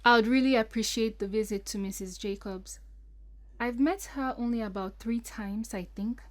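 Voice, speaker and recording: Woman playing a troubled, higher-voiced bride-to-be. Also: normal speed, natural voice. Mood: grateful, apologetic. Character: troubled, polite young bride-to-be. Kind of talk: audio drama acting.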